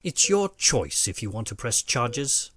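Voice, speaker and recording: A man's voice: medium pitch, sing-song, unhurried, a bit bright. A man playing a protective, dutiful older butler with a formal, proper way of talking in an audio drama. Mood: stern. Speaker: voice actor.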